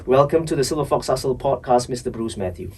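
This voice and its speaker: A man's voice: medium pitch, lively intonation, fast, a little mellow, slightly rough, recorded from a distance. Host: Singaporean man, stammering repeats, eager interviewer, a bit off-mic. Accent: Singaporean English. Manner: hesitant, repeats words, eager questions. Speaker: podcast host. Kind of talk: casual interview.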